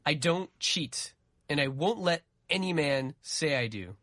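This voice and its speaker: A woman's voice: deep voice, expressive, measured pace, fairly bright tone, no room sound, clean studio sound. A woman voicing a proud, stubborn young man, insistent and combative, in a dramatic story reading. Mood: indignant.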